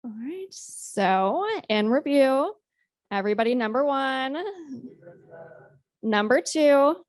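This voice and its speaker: A woman's voice: a little high, no echo. A woman occupational therapist giving a practical presentation to a club, walking the audience through simple exercises in a friendly, instructive way. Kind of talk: health talk to a club.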